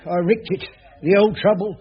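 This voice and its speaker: A dull-sounding old radio comedy recording of a wily London caretaker. His voice sits high for a man, and he grumbles and rambles in colloquial working-class speech like a born jobsworth. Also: steady tone, moderate pace, breathy edge. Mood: grumbling. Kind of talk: radio sitcom acting.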